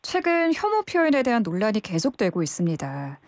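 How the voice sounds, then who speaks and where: A woman hosting a news radio program, speaking in a measured, polished announcer's delivery. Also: on the high side, huge pitch swings, no room sound.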